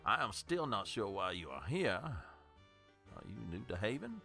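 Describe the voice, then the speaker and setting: An actor playing a flustered, formal older doctor in an audio drama, hesitant and stammering. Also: male voice, lowish voice, big pitch swings, a little slow, vocal fry, bright, forceful tone, soft background music, heavily acted. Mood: formal, puzzled.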